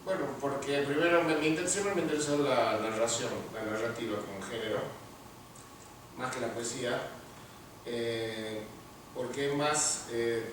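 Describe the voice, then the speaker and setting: A man with an Argentine accent, a literature teacher and writer, speaking in a measured, thoughtful way with pauses. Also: medium pitch, fairly even intonation. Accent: Argentine Spanish. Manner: measured and thoughtful, pausing with fillers. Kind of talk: interview answers, then reading his story aloud. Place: large room at an award ceremony. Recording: echoey room, far from mic, noisy.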